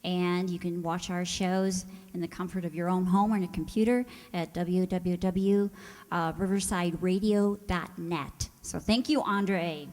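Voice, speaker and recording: A woman's voice: fairly low pitch, steady tone, unhurried, a little mellow. A woman giving a live thank-you address to an audience from the stage of an auditorium. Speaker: radio players' member giving thanks from the stage. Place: large indoor township auditorium, on stage. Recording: echoey auditorium with long reverb.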